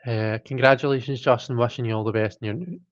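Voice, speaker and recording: A man's voice: mid-range voice, fairly even intonation, quick pace, no room sound, highs cut off. A man hosting a live stream, chatting casually and reading chat, rambling with many fillers and restarts while his throat keeps catching. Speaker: livestream host reading chat.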